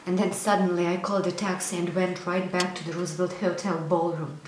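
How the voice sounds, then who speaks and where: An actress performing a stage monologue as a young woman haunted by a painful memory, moving between hushed whispers and loud outbursts. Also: lowish voice, level tone, a little fast, natural voice. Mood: wistful. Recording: echoey room, faint noise.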